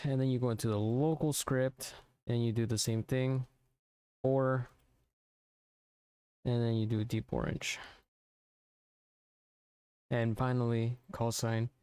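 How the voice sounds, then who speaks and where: Clear-voiced man giving a casual tutorial. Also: medium pitch, a little mellow, no room sound. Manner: informal, thinking aloud step by step. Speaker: tutorial presenter. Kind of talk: casual software tutorial narration.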